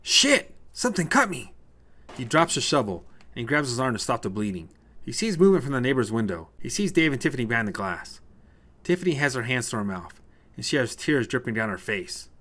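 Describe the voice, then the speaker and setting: A man's voice: medium pitch, animated, fast talker, fairly bright tone. A man reading a scripted short story as narrator, told in the present tense.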